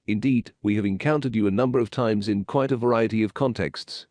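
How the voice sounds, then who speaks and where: A man playing a dignified, mystical alien high priest in a video game, speaking in a stately, ceremonious and deliberate manner. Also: darkish tone, dry recording, lightly acted. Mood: grave.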